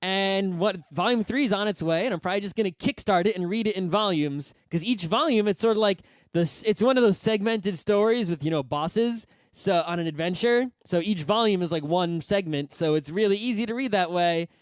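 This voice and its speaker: A man's voice: high-pitched, fairly quick, no room sound. A man chatting casually and enthusiastically as a podcast co-host, emphatic and often repeating his phrases. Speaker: podcast co-host reviewing a webcomic. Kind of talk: casual chat.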